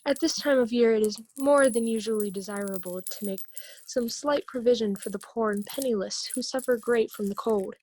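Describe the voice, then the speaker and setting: A woman's voice: on the high side, moderate pace, darkish tone, lightly acted, rough recording. A woman playing a polite, earnest charity collector in an amateur radio play, formal and courteous, recorded over a home video call.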